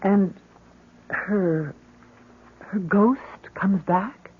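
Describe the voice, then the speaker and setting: A woman's voice: mid-range voice, drawn-out words, slightly rough, full character voice. An actress playing an anxious, hesitant middle-aged mother in a 1970s radio drama. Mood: uneasy, hesitant. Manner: hesitant, urgent, emotional. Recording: dull vintage radio broadcast.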